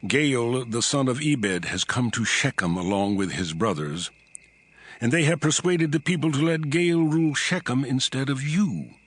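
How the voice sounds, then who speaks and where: An actor playing a calculating town governor who speaks in a measured way and turns mocking, in a dramatized Bible reading. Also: male voice, medium pitch, animated, fairly bright tone, husky, dry recording. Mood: scheming. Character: calculating town governor Zebul, coolly scheming.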